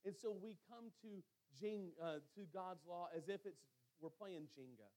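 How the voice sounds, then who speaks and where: Male preacher, high-set voice, sermon delivery that leans on repetition and weighted pauses for emphasis. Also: mellow. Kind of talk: sermon with scripture reading and storytelling.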